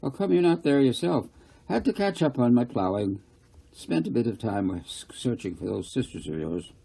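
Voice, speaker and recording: Storybook farmer, acted by a man: older, plain-spoken, curious, husky and mellow, with rustic turns of phrase. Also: mid-range voice, animated, normal speed. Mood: folksy, musing. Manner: folksy dialect, musing.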